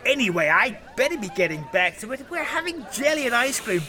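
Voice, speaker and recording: A chatty, easily impressed British funeral officiant in an audio comedy. The voice is high and bright, swooping about as he rambles cheerfully over faint noise. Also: very animated, brisk, a faint crowd, heavily acted. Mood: breezy, cheerful. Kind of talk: audio sitcom acting.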